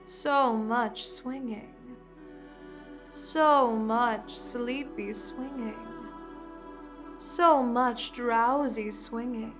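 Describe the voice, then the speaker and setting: A commanding, seductive vampire queen, as a woman acts her out, drawing out every word at a languid, unhurried pace in a fairly high voice. She lulls and controls with slow, hypnotic phrasing. Soft music plays faintly behind her. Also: steady tone. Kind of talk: ASMR hypnosis roleplay.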